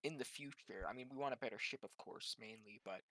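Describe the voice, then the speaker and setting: A man's voice: medium pitch, quick pace, bright, forceful tone, no echo. A man chatting casually as a player in a tabletop role-playing game. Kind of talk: casual chat.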